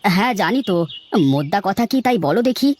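A woman's voice: mid-range voice, animated, fast, a little mellow, natural voice. A woman voicing a kindly, principled male banana farmer in a village cartoon, speaking earnestly. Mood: firm, polite. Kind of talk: cartoon dialogue acting.

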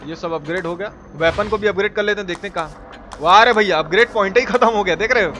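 A man's voice: high voice, quick pace. A man from India with a Bihari accent gives casual, colloquial live gameplay commentary peppered with swearing. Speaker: game streamer. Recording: clearly noisy background.

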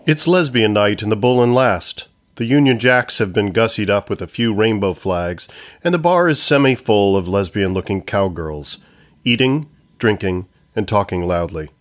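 A man's voice: on the low side, animated, normal speed. A man reading scripted narration for a comedy story, as its narrator.

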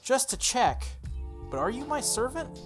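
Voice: sits high, sing-song, a little slow, voice pushed up. A game streamer voicing an irritable, bossy young female mage from a visual novel, indignant and demanding. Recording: music playing under the voice.

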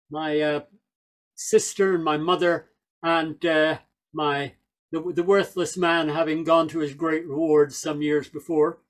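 An older man with a high voice tells stories in a wry, chatty way, throwing in asides. He talks over a video call into a headset mic from a small room at home. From Northern Ireland, raised in England. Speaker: folk singer and speaker. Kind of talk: storytelling talk on a video call.

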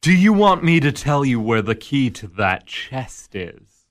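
The Devil in a radio drama, a smooth and amused tempter. He talks unhurriedly, each word measured and mocking. His voice sits at an ordinary middle pitch. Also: sing-song. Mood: coaxing. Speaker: narrator and tempter.

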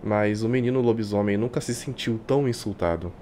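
A man with a Brazilian accent reading a visual novel aloud like a streamer, expressive and colloquial. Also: fairly low pitch, fairly quick, a little hiss or hum. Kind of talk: dramatic live reading with casual asides. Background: game music.

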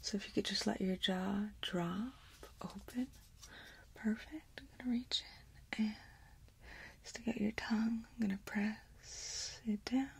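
A woman in her thirties doing a soft-spoken, partly whispered ASMR exam role play, giving slow, gentle instructions close to the microphone. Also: medium pitch, fairly even intonation. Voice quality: breathy, whispery. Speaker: ASMR performer playing an examiner.

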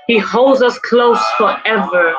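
A woman leading a congregation in prayer and worship, with slow, fervent, drawn-out phrases that slip into singing. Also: on the high side. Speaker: worship leader. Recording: dull lo-fi recording with soft music behind.

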